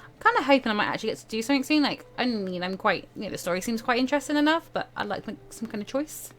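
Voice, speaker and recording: A woman with a fairly high, very animated voice chats through a game as a let's-play host. She is casual and quick to drop joking asides, over faint background music. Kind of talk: casual game commentary and read-aloud.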